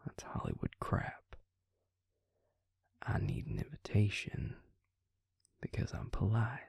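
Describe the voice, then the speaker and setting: A man playing a gentle, courteous Southern vampire in an intimate audio roleplay, with a slow, soothing drawl and Southern US accent. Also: wildly swooping pitch, no room sound, heavily acted. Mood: wry, courteous. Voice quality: low, gravelly, breathy near-whisper. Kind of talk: intimate audio roleplay acting addressed to the listener.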